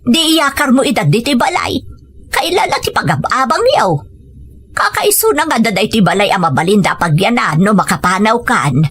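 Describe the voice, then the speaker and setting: A woman's voice: a little high, sing-song, moderate pace, fairly bright tone, slightly rough, exaggerated voice. A woman playing a supportive older mother of a grown son in an audio drama, reassuring and practical as she advises. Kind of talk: scripted audio drama dialogue. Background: faint music.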